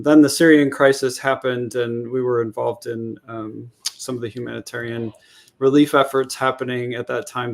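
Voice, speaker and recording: A man speaking as a panel guest and researcher, in a measured, even-toned way. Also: mid-range voice. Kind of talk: panel discussion.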